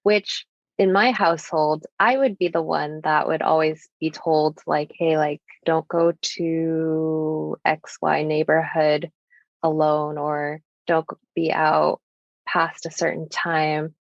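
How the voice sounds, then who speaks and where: A woman's voice: sits low, level tone, takes it slowly, creaky, no room sound. A young woman from the United States whose first language is Japanese, speaking as a podcast guest in an earnest, thoughtful way, with many casual fillers. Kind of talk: reflective personal conversation. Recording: dull lo-fi sound.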